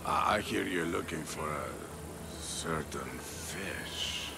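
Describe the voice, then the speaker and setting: A man's voice: slow pace, creaky, over music, full character voice. An actor playing a tired, fearful old townsman who gives information cautiously and hesitantly in a video game cutscene. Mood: wary warning. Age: older. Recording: rumbling, noisy background.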